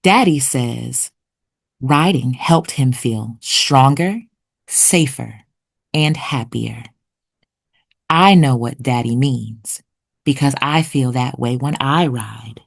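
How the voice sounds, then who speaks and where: A woman reading a children's picture book aloud expressively, with deliberate storytelling pauses. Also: rumbling low, vocal fry, slightly rough. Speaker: children's read-aloud narrator.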